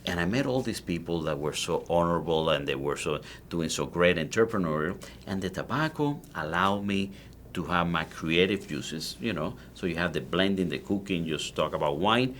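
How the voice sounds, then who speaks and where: A man in his forties with a Cuban Spanish accent, a native Spanish speaker telling his story as a guest in a casual interview, animated and full of restarts. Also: sits low, normal speed, slight background noise. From Cuba. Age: 40s. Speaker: guest, cigar maker.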